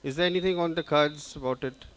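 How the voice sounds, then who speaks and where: A man with a slightly raised pitch asks the author questions from the audience. He pauses often and leans on fillers as he speaks. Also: a bit bright, dry recording. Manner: hesitant, with many fillers. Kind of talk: asking questions at a panel.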